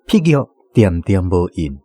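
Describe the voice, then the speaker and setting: A man's voice: deep voice, sing-song, measured pace, mellow, husky, no room sound, studio quality. A man with a Taiwanese accent narrating a scripted radio drama as a storyteller.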